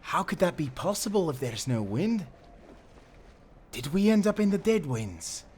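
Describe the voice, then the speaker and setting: A man's voice: fairly high pitch, big pitch swings, unhurried, a little mellow, a little hiss or hum. A man playing an earnest, protective sailor companion in an audio drama, with an urgent manner. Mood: alarmed. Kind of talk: cinematic audio roleplay acting.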